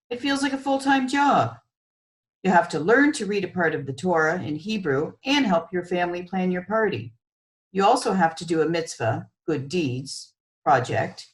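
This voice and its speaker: Earnest, unsure boy read by a woman, lively pitch. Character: earnest, unsure twelve-year-old boy, the story's hero.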